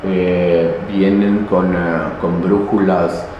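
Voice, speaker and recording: A man explaining local history in an interview, pausing often with fillers and restarts. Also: low voice, little pitch movement, lo-fi sound. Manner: halting, many fillers and restarts. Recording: very echoey, noisy, reverberant space.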